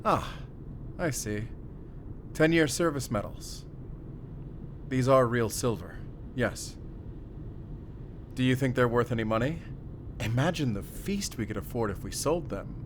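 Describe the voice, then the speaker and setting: A man playing a battle-worn, calm and wry werewolf hunter in an audio roleplay, speaking deliberately in short, paused phrases with quiet tenderness. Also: medium pitch, a little hiss or hum, natural voice. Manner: measured, deliberate, pausing between short phrases. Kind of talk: cinematic audio roleplay acting.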